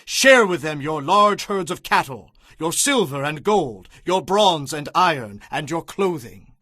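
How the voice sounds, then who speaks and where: A solemn leader of ancient tribes in an audio Bible drama. His voice sits fairly high for a man and is recorded dry. He declaims slowly and emphatically, with authority. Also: animated, pushed and bright, no room sound, heavily acted. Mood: generous, commanding.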